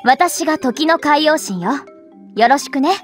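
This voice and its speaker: High-pitched bossy little goddess. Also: female voice, animated. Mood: breezy. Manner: casual, cheeky, quick to scold. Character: small, bossy, breezy young goddess of time. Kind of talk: Japanese anime game voice acting. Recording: music behind the voice.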